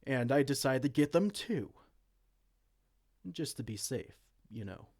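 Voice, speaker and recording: A man playing a vain, silly, soft-hearted evil king in a comedic fantasy roleplay, pompous and theatrical but slipping into casual dorkiness. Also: medium pitch, lively intonation, measured pace. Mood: sheepish, casual. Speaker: audio roleplay performer.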